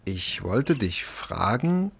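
A man's voice: fairly low pitch, sing-song, gravelly, fairly bright tone, slight background noise, full character voice. A man acting in a children's radio play as a doting working-class father, colloquial and affectionate. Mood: halting. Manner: colloquial, affectionate, halting when reading aloud.

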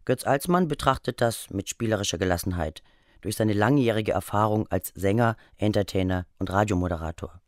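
A man's voice: lowish voice, fairly quick, no echo, studio quality. A man reads scripted narration for a radio feature.

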